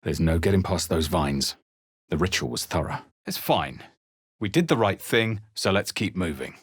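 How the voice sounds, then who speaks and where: An actor playing a guarded adventurer hero in a video game, speaking and narrating in a husky, measured, brooding way. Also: male voice, deep voice, lively intonation, no echo, studio quality. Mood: wry, matter-of-fact. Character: male adventurer hero, deep-voiced, grave and guarded, player character. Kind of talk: dramatic video game voice lines and first-person narration.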